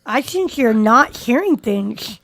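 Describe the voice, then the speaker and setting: A woman's voice: fairly high pitch, expressive, slow, creaky, a bit bright, no room sound, rough recording. A woman co-hosting a podcast, dropping teasing, crude quips in casual group chat. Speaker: podcast co-host.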